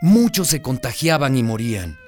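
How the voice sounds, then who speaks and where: A man's voice: medium pitch, sing-song, normal speed, darkish tone, slight background noise, natural voice. An actor playing a plain-spoken man recalling the pandemic in a radio drama, reflective, emphatic and indignant. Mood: somber, admiring. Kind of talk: dramatized first-person narration.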